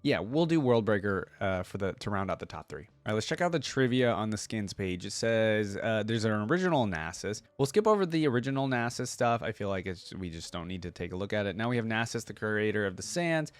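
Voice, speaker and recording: A man's voice: lowish voice, very animated, brisk, hardly any pauses, dry recording. A man hosting a reaction video, talking informally and thinking aloud as he comments and reads along. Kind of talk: casual reaction commentary, reading wiki text aloud.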